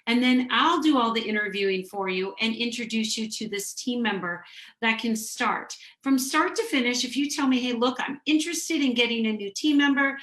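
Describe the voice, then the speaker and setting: Middle-aged woman guest, higher voice, friendly pitching tone, roomy echo on a video call. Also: moderate pace, fairly bright tone. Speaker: dental consultant, guest. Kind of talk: casual interview chat.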